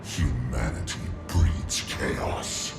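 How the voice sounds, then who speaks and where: A man's voice: rumbling low, sing-song, extremely slow, creaky, exaggerated voice. A man playing a cold, ancient spirit of order in a video game cutscene. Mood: cold, ominous. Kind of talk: cinematic video game acting. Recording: echoing voice under loud ominous music.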